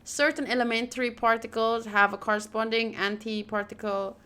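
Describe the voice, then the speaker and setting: A woman's voice: a little high, steady tone, brisk, sharp tone, a little hiss or hum. A woman hosting a visual novel playthrough, reading the text aloud expressively and breaking off into wry, informal asides. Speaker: gaming video host.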